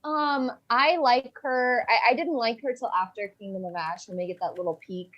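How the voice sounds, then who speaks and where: A woman's voice: fairly high pitch, moderate pace, muffled recording. A woman talking informally as a guest in a casual chat, peppering her sentences with "like". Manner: informal, frequent use of "like". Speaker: discussion guest.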